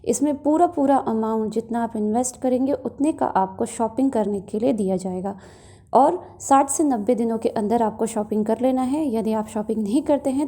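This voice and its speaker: Mellow, higher-voiced woman presenting updates. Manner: reassuring, mixes English words into Hindi. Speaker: video channel host. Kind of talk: explainer narration for an update video.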